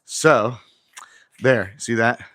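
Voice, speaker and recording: A man's voice: medium pitch, extremely slow, bright, forceful tone, no room sound. Chatty forty-something man live from a garage. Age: 40s. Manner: chatty, talks straight to viewers, reads out comments. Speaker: livestream host. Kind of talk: casual live-stream chat. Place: home garage gym. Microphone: phone camera on an auto-tracking mount.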